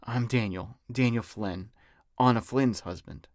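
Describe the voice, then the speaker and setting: A man's voice: mid-range voice, measured pace, no echo, clean studio sound, lightly acted. A man reading an audiobook as an anxious, apologetic husband who speaks in a hesitant, submissive way. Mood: nervous.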